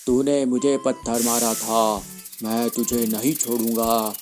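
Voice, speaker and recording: Lady doing a nasty snake dude, deep n threatening, out for revenge, cartoon w music behind. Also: little pitch movement, slow. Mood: vengeful. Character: vengeful, menacing male cartoon snake.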